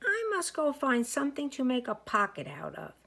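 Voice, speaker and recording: A woman's voice: sits high, wildly swooping pitch, normal speed, creaky, pushed and bright, husky, voice pushed up, exaggerated voice. A woman voicing a small, curious male toy bear with musing, wide-eyed wonder in a storybook. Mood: puzzled, determined.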